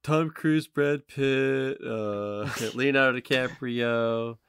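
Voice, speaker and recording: A man in a casual chat as a podcast co-host, thinking out loud and swearing casually. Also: mid-range voice, takes it slowly, clean tone, no echo, studio quality. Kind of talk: casual chat, guessing game among friends.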